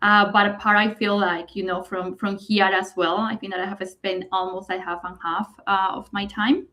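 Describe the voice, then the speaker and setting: A woman's voice: mid-range voice, fairly even intonation, moderate pace, sharp tone. A Colombian woman in her late thirties, a native Spanish speaker, chats as an interview guest over a video call. Her phrasing is loose and easygoing, strung together with frequent "like" as she talks.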